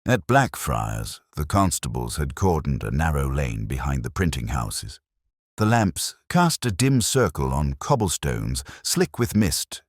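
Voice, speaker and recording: A man reading a scripted dramatic story as an audiobook narrator, in a measured, formal, literary manner. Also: extremely low pitch, very animated, no room sound, clean studio sound.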